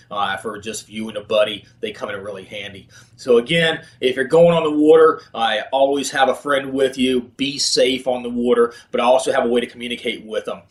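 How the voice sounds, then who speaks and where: Man giving casual tips in an echoey room. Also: mid-range voice. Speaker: video host, kayak fishing presenter. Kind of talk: casual instructional product talk.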